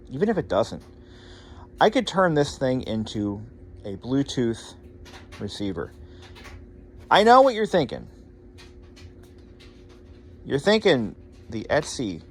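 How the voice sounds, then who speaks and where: Animated, wry man rambling casually. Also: medium pitch, breathy edge. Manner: wry, sarcastic asides, casual swearing. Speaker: host of a hobby electronics repair channel. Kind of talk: casual rambling demonstration talk to viewers.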